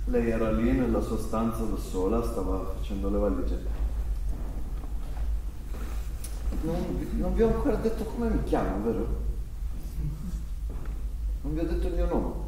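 A man's voice: medium pitch, expressive, normal speed, pauses often, warm tone, close to an everyday voice. An actor on stage playing an agitated young man, pleading and stammering as he speaks. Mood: hesitant, confiding. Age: young adult. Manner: pleading, stammering, repeating himself. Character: agitated young German-Paraguayan man, son of a war criminal. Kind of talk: live stage drama reading. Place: theatre stage, far from the mic. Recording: very echoey hall, distant microphone, faint noise.

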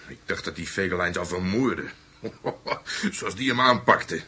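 A man's voice: low voice, animated, measured pace, pushed and bright, breathy edge, full character voice. An actor in a Dutch radio play voicing a former minor SS man, a loyal, chatty but wary Nazi. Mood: relishing the tale.

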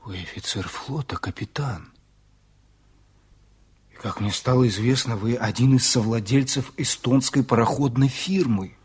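A man's voice: medium pitch, a little slow, husky. A probing journalist in a Soviet radio drama. His voice sits in the middle register with some huskiness. He is formal and courteous, yet keeps pressing and insinuating. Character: pushy, probing local newspaper journalist, formally polite. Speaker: actor in a radio play.

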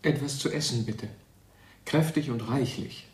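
A man's voice: mid-range voice, a little slow, a little mellow, breathy edge. An actor playing a troubled, bewildered grown man who searches ponderously for his formal words in a German radio drama. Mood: insistent, courteous.

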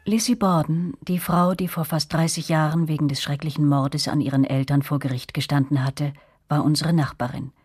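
A woman playing a young narrator in a radio play, recalling events in a measured, reflective way. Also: deep voice, steady tone, darkish tone, slightly rough, soft background music.

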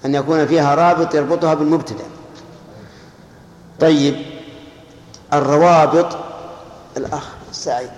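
A man's voice: on the high side, fairly even intonation, normal speed, long pauses, darkish tone. A man teaching a grammar lesson, quizzing students and correcting them with firm, short replies. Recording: echoey hall, faint hiss.

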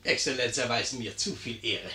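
An actor in a 1950s German radio comedy playing a servile, sly innkeeper who flatters with gentle irony and stays cheerfully unflappable. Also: male voice, medium pitch, fairly quick, natural voice. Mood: slyly deferential. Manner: deferential, flattering, gently ironic.

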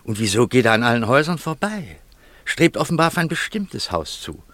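A man's voice: medium pitch, sing-song, a little fast, fairly bright tone, dry recording. A man playing an eager, suspicious, stubborn amateur detective in a German radio play, speaking with dramatic, insistent emphasis. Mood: urgent.

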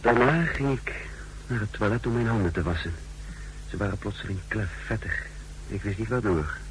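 An actor playing a cool, calculating secret agent who narrates his mission in a measured, introspective way in a 1960s radio drama. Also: fairly low pitch, natural voice, low-quality recording. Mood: detached, uneasy. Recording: hissy 1960s radio recording.

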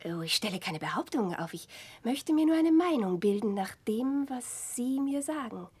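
An actress playing an intense, bitter, sharp-tongued gentlewoman in a 1960s German radio play, probing with feigned-naive questions in a breathy, urgent voice. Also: fairly high pitch, expressive, moderate pace.

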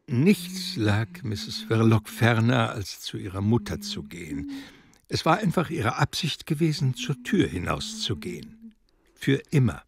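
A man narrating a literary radio play in a measured, dramatic storytelling manner. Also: lowish voice, very animated, vocal fry. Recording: studio production with music underneath. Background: music.

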